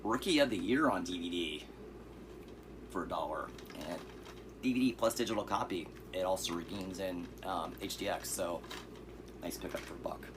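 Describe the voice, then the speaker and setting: Hoarse, deep-voiced man rambling about finds. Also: normal speed, background noise. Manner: relaxed, rambling, with fillers and asides. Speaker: vlogger showing thrift finds. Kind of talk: casual vlog.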